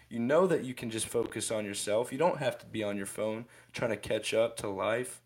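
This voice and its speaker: A man in his twenties co-hosting a casual podcast, giving rambling motivational advice with lots of restarts and self-corrections. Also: mid-range voice. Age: 20s. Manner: rambling, ranting, with frequent restarts. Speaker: podcast co-host.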